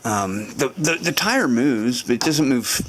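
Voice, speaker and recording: A man's voice: mid-range voice, expressive, unhurried, rough recording. A man with a mid-range voice walks through his repair job in a home garage, rambling as he thinks aloud and often stopping to restart his sentences. A faint hum of garage ambience sits behind him. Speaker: motorcycle restoration vlogger. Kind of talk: casual garage walkthrough to camera.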